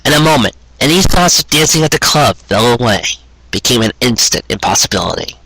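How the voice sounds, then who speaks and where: Bright, husky male storyteller. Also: mid-range voice, no room sound, rough recording. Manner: earnest, dramatic storytelling delivery. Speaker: storyteller reading fan fiction aloud. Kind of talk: scripted narration of a sentimental romance story.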